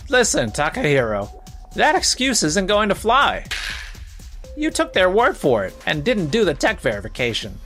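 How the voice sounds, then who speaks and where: A man plays a stern corporate manager in a manga-style drama dub, with a fairly high voice and lively, swinging intonation. He is exacting and reproachful, pressing his point over background music. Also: moderate pace, full character voice. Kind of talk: scripted manga dub voice acting.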